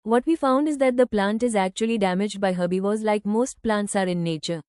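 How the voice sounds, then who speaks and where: A woman reads scripted academic text with measured care. Her voice is soft-edged and mellow, with a clean, smooth tone. The sound is close and dry. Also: mid-range voice, no room sound. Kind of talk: scripted read-aloud of academic passages.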